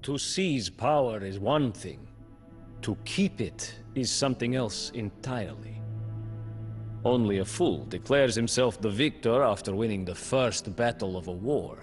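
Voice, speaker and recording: Slow, grave male storybook narrator. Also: on the low side, animated. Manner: grave, measured storytelling with dramatic emphasis. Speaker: campaign narrator. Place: studio voice-over. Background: faint music score.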